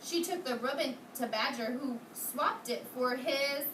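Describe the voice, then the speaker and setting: A woman's voice: sits high, a little slow. A woman teaching kindergarten, reading a storybook aloud interactively, asking questions and praising her young pupils in an encouraging way. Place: indoor kindergarten classroom. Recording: roomy, distant mic, noisy classroom.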